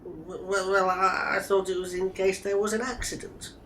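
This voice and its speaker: Man playing a bright, timid, deferential employee. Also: sits high, flat delivery, moderate pace, slight background noise, low-quality recording. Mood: nervous, puzzled. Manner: hesitant, deferential, earnest. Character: timid, naive young male zoo employee. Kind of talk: comic audio drama read-through.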